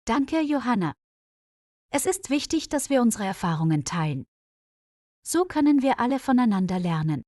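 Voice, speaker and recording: Woman voicing an earnest, calm male nurse. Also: a little high, normal speed, pauses often, no echo, clean studio sound, voice pushed up. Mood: modest, encouraging. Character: dedicated, modest, experienced male nurse.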